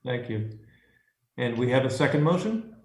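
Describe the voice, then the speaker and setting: A man's voice: mid-range voice, sing-song, moderate pace. A man chairing a formal public meeting, moderating discussion and calling for motions. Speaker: commission chairman. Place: remote meeting call. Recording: echoey remote meeting audio.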